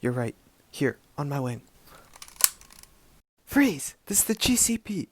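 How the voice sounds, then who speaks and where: A husky male police commissioner, acted by a man, cautious and gruff in an amateur superhero radio play. His pitch swings widely as he talks. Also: big pitch swings, takes it slowly, heavily acted. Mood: wary, then commanding.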